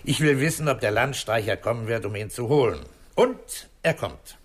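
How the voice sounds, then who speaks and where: An actor playing a methodical, calm police commissioner in a 1960s German radio crime drama, deliberate and authoritative as he explains. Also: medium pitch, close to an everyday voice. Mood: measured, incisive.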